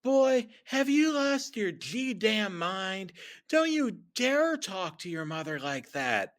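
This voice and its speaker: A man voicing a strict, angry mother who scolds loudly in a comic story. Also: high voice, sing-song, slow pace, pitched above the performer's own voice, exaggerated voice. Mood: outraged.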